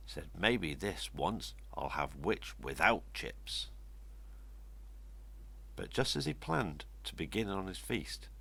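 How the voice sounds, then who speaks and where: Older guy reading a kids rhyming story, deep husky voice, really animated. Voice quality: gravelly, husky. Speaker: story reader. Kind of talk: expressive read-aloud children's storytelling in rhyme.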